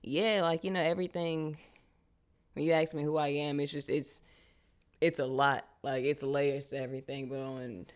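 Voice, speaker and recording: A young woman from Charlotte, a guest artist talking casually in an interview, animated and full of slang. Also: deep voice, moderate pace. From United States, Charlotte. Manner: animated, casual slang, frequent "you feel me".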